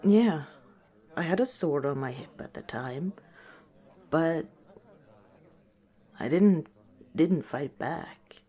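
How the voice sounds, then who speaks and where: A woman voicing a gentle, wistful female orc tavern owner in a soft-spoken, hesitant ASMR roleplay. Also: deep voice, lively intonation, slow, pauses often, slightly rough, exaggerated voice. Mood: resigned, gently amused.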